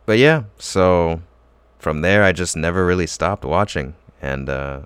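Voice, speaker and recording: Young male co-host, very deep and resonant, loose filler-heavy storyteller, dry mic. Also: measured pace, fairly bright tone, clear, resonant tone, dry recording. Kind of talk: casual chat.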